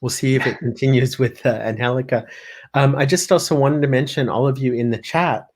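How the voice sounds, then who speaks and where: Guy hosting a live panel, middle pitch, halting with lots of pauses. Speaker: host, panel moderator. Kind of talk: moderating a live-streamed panel.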